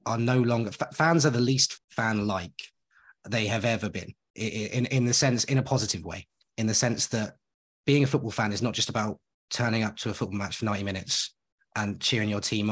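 A man's voice: fairly low pitch, fairly even intonation, a little fast, slightly rough. A man co-hosting a podcast in casual conversation, enthusiastic and rambling, speaking straight to his listeners. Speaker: podcast co-host.

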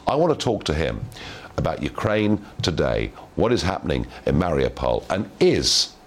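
A man hosting a broadcast talk show, giving opinions forcefully and interviewing a guest. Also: a bass voice, very animated, moderate pace, a little hiss or hum.

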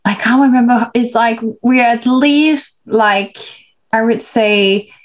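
A woman's voice: fairly high pitch, slow. A woman from Denmark interviewing a guest over a video call, talking hesitantly with many restarts.

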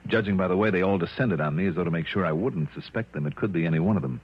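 A shrewd American male investigator, very low and husky, sardonic and probing with clipped questions. His delivery is quick, in a dull vintage radio drama recording. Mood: brisk, decisive. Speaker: lead actor in a radio drama. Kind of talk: mid-century American radio detective acting.

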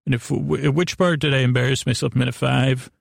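A male podcast host with a creaky, gravelly voice at a medium pitch, recorded dry and close. He improvises a silly monologue, wandering off, stumbling over words and restarting, all with mock sincerity. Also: fairly even intonation, no room sound. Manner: meandering, halting, stammering, trails off and restarts; silly, absurd, mock-earnest. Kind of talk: rambling improvised monologue addressed to an imaginary guest.

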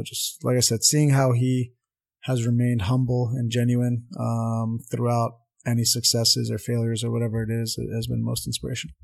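A man's voice: mid-range voice, vocal fry, a little mellow. A young man whose first language is Armenian, a soccer coach speaking earnestly as an interview guest, with many fillers and restarts. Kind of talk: casual interview talk.

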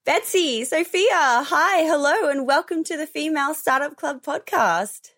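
Bubbly British woman hosting, bright pitch. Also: lively intonation, unhurried, no echo, studio quality. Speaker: podcast host. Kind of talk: interview hosting.

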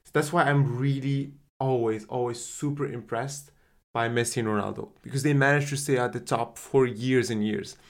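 A man's voice: mid-range voice, fairly even intonation, moderate pace. A man co-hosting a football podcast, talking casually with lots of fillers and restarts. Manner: chatty, many fillers and restarts. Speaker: podcast co-host.

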